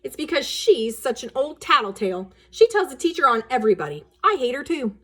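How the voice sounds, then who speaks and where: A defiant little brother of seven from a storybook, put on by a woman. He is blunt and teasing, with a high, husky voice that swings up and down. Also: big pitch swings, brisk, sharp tone, full character voice. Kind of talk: children's storybook character voice.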